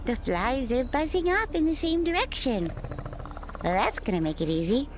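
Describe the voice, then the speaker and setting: A woman's voice: high-pitched, big pitch swings, normal speed, background noise. An actress in a horror audio roleplay playing a gleeful, bloodthirsty monster woman with a taunting, sing-song manner. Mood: playful, taunting. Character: gleeful bloodthirsty female monster hunting with a wolf.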